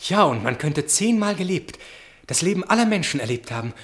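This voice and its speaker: Young lovelorn admirer for a 1960s radio play: romantic, eager to please, speaking in hurried bursts. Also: male voice, on the high side, very animated, a bit bright. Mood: lovestruck.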